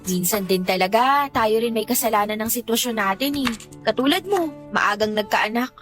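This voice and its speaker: Radio drama role: wistful, put-upon young Filipino woman, conversational and self-mocking, higher register, light music underneath. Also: animated, normal speed, a bit bright. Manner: conversational, complaining, self-deprecating. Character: poor, wistful young working woman, long-suffering eldest daughter. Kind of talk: Tagalog radio drama acting. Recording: music bed behind the dialogue.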